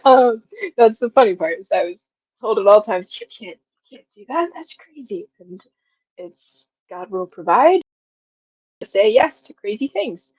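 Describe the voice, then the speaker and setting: A woman's voice: medium pitch, animated, a little slow, a little mellow, slightly rough, no echo, lo-fi sound. A woman in her twenties talking reflectively and casually as an interview guest, feeling her way through her thoughts. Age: 20s. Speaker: guest, audio drama writer.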